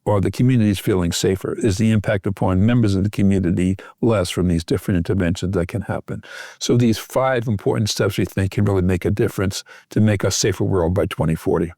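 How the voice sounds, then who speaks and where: A man giving a measured, methodical explanation as an expert guest in an interview. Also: sits low, mellow, no echo, studio quality. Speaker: expert guest, physician researcher.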